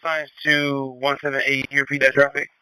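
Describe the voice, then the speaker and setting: A man's voice: mid-range voice, monotone, fairly quick, sharp tone. A man on emergency two-way radio, giving short businesslike messages as an operator. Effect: two-way radio transmission. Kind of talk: scanner radio traffic. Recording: very noisy, clicking radio channel.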